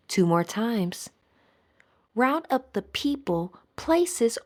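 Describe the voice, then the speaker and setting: A woman leading a scripted guided meditation in a soothing, measured manner. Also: high voice.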